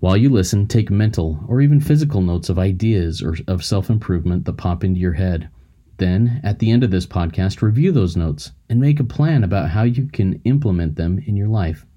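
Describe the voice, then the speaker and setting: A man's voice: sits low, expressive, a little fast, mellow. A man hosting a podcast, reading an earnest scripted intro and then interviewing a guest with encouragement.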